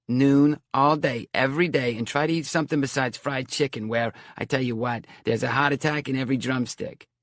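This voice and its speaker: A man's voice: mid-range voice, dry recording, clean studio sound, voice pushed up, natural voice. A man voicing a brassy middle-aged woman fortune teller who scolds and bosses in a raised voice. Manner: scolding, loud and insistent.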